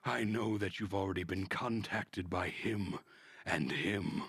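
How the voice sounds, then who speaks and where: A man voicing a mysterious, urgent double from a parallel world in a visual novel, speaking in a cryptic, halting, insistent way. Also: deep voice, fairly bright tone, breathy edge, no room sound, faint music behind, exaggerated voice.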